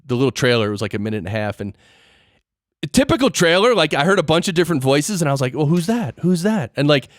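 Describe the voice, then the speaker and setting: A man's voice: fairly high pitch, big pitch swings, rapid-fire, fairly bright tone, no echo, studio quality. A man hosting a podcast in casual interview chat, playful and slangy, given to exclamations. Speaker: podcast host.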